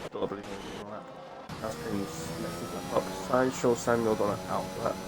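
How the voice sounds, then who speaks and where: A man's voice: fairly low pitch, normal speed, heavy background noise. Man commentating with a cough. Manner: rambling, chatty, self-interrupting. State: coughing, sore throat from a cold. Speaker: race commentator. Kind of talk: live sim-racing league commentary.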